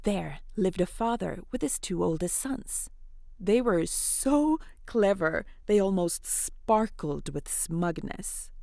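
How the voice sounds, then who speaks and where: A woman's voice: mid-range voice, slow pace, creaky, no room sound, studio quality. A woman telling an interactive fairy tale to children in a playful, encouraging, chatty way. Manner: encouraging, animated, chatty asides to young listeners. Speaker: storyteller, host of interactive children's fairy tales.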